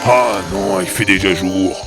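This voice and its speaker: A man's voice: sits low, steady tone, measured pace. A man voicing a grouchy, sceptical centaur companion in a comic audio drama, blunt and complaining in manner. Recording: roomy echo, music behind.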